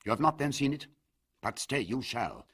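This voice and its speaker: A man's voice: mid-range voice, expressive, moderate pace, fairly bright tone, breathy edge, voice pushed up. A man doing an abrupt, hysterical haunted nobleman. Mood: urgent. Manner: abrupt, urgent, tremulous. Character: haunted, hysterical man of a crumbling old house.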